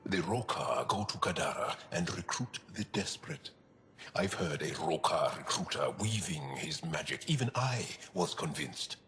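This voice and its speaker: An actor playing a grieving, thoughtful alien resistance fighter in a video game, speaking haltingly and softly over music. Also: male voice, deep voice, lively intonation, slight background noise. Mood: serious. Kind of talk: video game cutscene acting. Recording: music under the voice.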